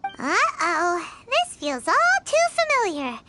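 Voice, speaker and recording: A woman voicing a small, excitable, childlike floating companion girl in an anime-style game. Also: slow, over loud music, exaggerated voice. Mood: uneasy. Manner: squeaky, childlike.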